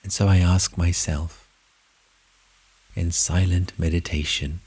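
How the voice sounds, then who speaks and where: Very deep, husky man guiding meditation slowly. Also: steady tone, darkish tone, low-quality recording. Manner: measured, deliberate, with long pauses between short phrases. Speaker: meditation guide. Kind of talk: guided meditation narration.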